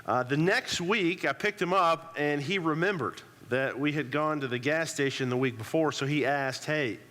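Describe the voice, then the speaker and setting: A man's voice: fairly high pitch, moderate pace, bright, forceful tone, in a large hall. A pastor with a cold and a congested voice, talking casually to his church with many "uh"s and restarts, praying and telling a family story. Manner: conversational, frequent "uh" fillers and restarts. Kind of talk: sermon with prayer and personal story. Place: church sanctuary.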